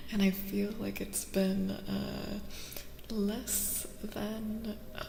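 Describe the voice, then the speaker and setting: A woman speaking softly in an ASMR style as she gives a tarot reading, often slipping into whispers. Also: mid-range voice, level tone, drawn-out words, gravelly. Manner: soft-spoken, slipping into whispers. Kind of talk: soft-spoken ASMR. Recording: roomy, long reverb, faint noise.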